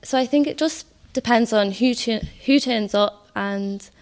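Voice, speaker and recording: A woman's voice: fairly high pitch, a little slow. A woman from an amateur theatre society answering interview questions, explaining things step by step.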